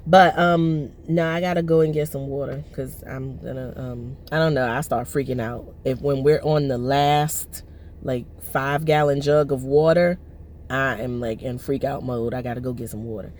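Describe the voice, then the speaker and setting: A woman in her thirties vlogging from her parked car, her voice hoarse and lowered from allergies, rambling with many fillers and restarts. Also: steady tone, normal speed, darkish tone, slight background noise. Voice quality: lowered, husky voice. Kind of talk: casual personal vlog. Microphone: phone on the dashboard.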